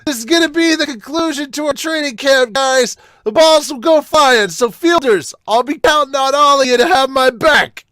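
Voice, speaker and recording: A man voicing a brash, fired-up teenage boy pitcher in an amateur manga dub, loud and boastful. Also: moderate pace, hardly any pauses, no room sound. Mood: loud, rallying.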